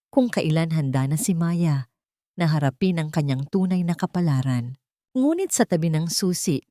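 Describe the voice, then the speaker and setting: A woman's voice: deep voice, dark tone, dry recording, studio quality. A woman with a Filipino accent narrating a dramatic scripted story. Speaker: narrator of audio stories.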